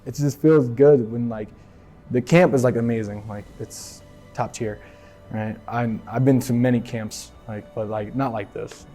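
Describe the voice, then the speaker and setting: Mellow-voiced teen guy from Philly. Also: mid-range voice, steady tone, soft background music. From Philadelphia. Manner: halting, many "like" and "right?" fillers. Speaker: athlete sharing testimony. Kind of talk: casual personal testimony.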